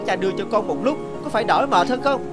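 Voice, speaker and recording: An actor voicing a lazy, complaining young peasant son in an animated folk tale, with a whiny, grumbling manner. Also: medium pitch, fast talker, natural voice. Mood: puzzled, grumbling. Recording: loud music under the voice.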